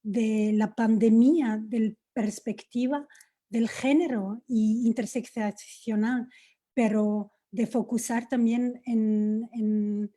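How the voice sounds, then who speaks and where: A woman's voice: fairly high pitch, fairly even intonation, slow pace, mellow. A woman who is not a native Spanish speaker takes part in an online panel, searching for words and slipping in English ones. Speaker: panel participant.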